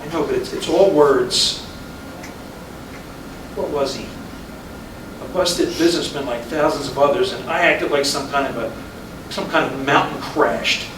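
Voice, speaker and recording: A man in a staged play reading, playing a weary, regretful, stubborn husband of fifty-five who speaks haltingly. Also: mid-range voice, lightly acted. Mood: troubled, reflective. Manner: halting, repeating words, brooding. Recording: echoey room from far off, noisy.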